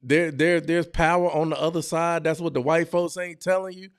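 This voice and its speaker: A man's voice: on the high side, level tone, moderate pace, smooth, no echo, studio quality. A man hosting a podcast, reacting to online videos in casual, slangy, blunt and joking commentary full of exclamations. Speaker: podcast host.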